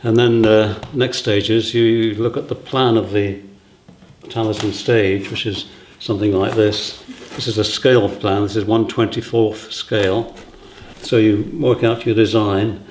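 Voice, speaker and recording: A man with a lowish voice gives an informal interview explanation. He is halting and matter-of-fact, and the room around him echoes noticeably. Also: flat delivery, darkish tone, a little hiss or hum. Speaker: theatre set designer.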